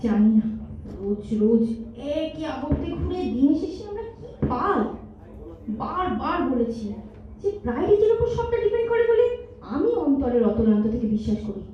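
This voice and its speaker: A woman's voice: sits high, sing-song, moderate pace. A woman playing an aggrieved, sarcastic girlfriend in a melodramatic stage audio drama. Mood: bitterly hurt. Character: hurt, accusing girlfriend in a long relationship. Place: multipurpose hall stage. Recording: distant echoey hall, dull, faint noise.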